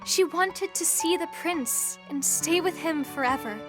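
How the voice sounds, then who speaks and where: A woman's voice: very high-pitched, measured pace. A woman narrating a fairy tale as a scripted storyteller in an audio drama. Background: music.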